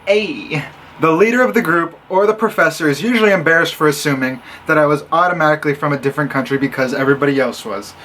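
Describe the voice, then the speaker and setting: A young man from Illinois, a college student, telling stories in a casual vlog with animated sarcasm. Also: a little high, fast talker, a little hiss or hum. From Illinois, USA. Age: young adult. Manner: animated and sarcastic, acting out others' lines.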